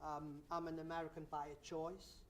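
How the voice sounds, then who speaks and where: Soft-spoken Portuguese-accented male guest. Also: fairly high pitch, monotone, moderate pace, a little hiss or hum. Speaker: guest, neighborhood association leader. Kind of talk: talk show interview.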